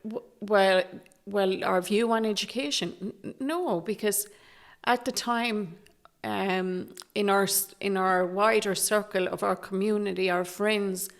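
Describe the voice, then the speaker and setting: A middle-aged Irish woman from Galway, speaking softly and hesitantly as a guest in an interview, with many restarts. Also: mid-range voice, a little slow. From Galway, Ireland. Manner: soft-spoken and hesitant, with many fillers and restarts. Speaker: guest, Traveller activist. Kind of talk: candid interview conversation.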